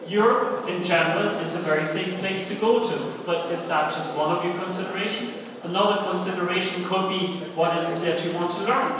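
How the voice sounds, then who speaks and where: A middle-aged man who grew up speaking German gives a live seminar talk in a fairly high voice. He punctuates his points with rhetorical questions and short check-in tags. He is heard from a distance in a heavily echoing hall with an audience. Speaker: university lecturer and seminar speaker. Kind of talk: live seminar presentation to students. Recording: very echoey hall, distant microphone.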